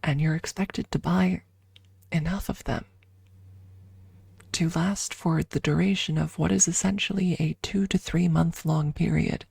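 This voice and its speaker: Breathy, slow-talking ASMR woman, close and dry. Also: lowish voice, steady tone, no echo. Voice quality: breathy, soft. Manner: halting, informal, drifting between whisper and soft talk. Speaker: ASMR streamer. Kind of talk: ASMR casual chat, often whispered. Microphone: close microphone.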